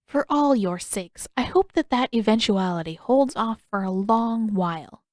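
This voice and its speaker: A narrator puts on a kindly older woman, a healer in a fantasy story. She speaks in a measured, patient way, calm but gently firm, often questioning. The sound is close and dry. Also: a little high, no room sound. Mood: earnest, grave.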